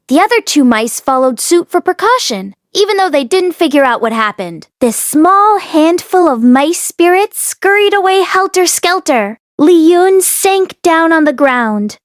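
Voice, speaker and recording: A woman reading a fantasy novel aloud as scripted narration, in an expressive storytelling manner. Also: sits high, no echo, studio quality. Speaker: audiobook reader.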